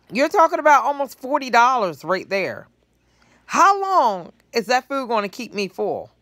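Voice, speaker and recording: A woman's voice: mid-range voice, a bit bright, dry recording. A middle-aged woman gives casual commentary to her phone from a living room couch, talking things through and doing sums aloud. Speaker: vlogger commenting on news clips. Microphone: phone held close at low angle.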